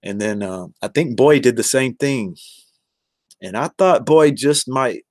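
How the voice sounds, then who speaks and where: A man's voice: mid-range voice, lively intonation, measured pace, no echo, studio quality. An American man in his forties chatting casually on a livestream, rambling and addressing viewers one by one. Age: 40s. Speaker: live streamer.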